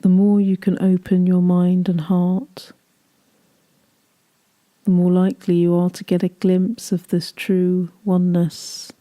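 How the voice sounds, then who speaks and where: A woman leading a guided meditation, speaking gently in soothing, unhurried phrases that trail off softly. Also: on the low side, monotone.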